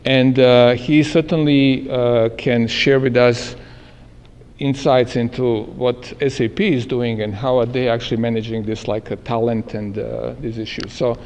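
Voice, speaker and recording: A man moderating a panel at a live event, speaking haltingly with many filler sounds. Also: mid-range voice, steady tone, darkish tone. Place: meeting room at an event. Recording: echoey hall with long reverb.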